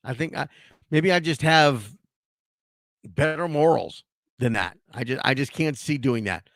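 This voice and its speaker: Hoarse male sports talk host, lively pitch, dry close sound, loose and ranting. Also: measured pace, no echo. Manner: animated, ranting, with frequent restarts. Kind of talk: casual sports talk commentary.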